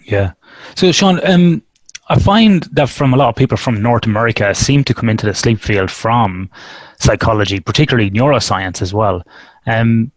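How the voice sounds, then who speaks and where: A man's voice: mid-range voice, dry recording. A man hosting an interview podcast, friendly and chatty, asking long, rambling questions. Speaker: podcast host. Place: Western Australia.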